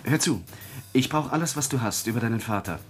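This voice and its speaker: Actor as a scheming reporter, music behind. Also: male voice, mid-range voice, expressive, brisk, close to an everyday voice. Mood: urgent, scheming. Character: pushy, scheming male newspaper reporter on the phone. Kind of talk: German radio drama acting.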